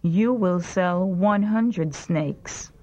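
A woman reading short prompt sentences slowly and clearly for a language drill. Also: on the low side, darkish tone, low-quality recording. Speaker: language lesson reader. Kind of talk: slow repeat-after-me language drill.

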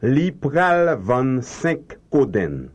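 A man reading slow, carefully enunciated translations in a language drill. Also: medium pitch, very animated. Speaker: language teacher.

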